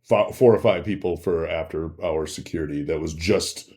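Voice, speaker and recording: A man speaking as an interview guest, telling anecdotes casually, halting and repeating himself for emphasis. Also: very deep voice, darkish tone. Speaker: interview guest, former bodyguard.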